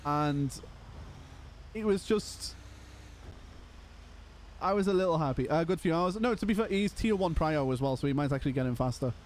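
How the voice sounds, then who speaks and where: This is a young man whose voice is worn hoarse from a long stream. He sits slightly higher in pitch than most men and chats casually, laughing often. A faint hum of noise sits under him. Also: expressive, normal speed, long pauses, darkish tone. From Liverpool, England. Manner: chatty, laughs often, calls people brother. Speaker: streamer playing a roleplay game. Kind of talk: casual live-stream chat.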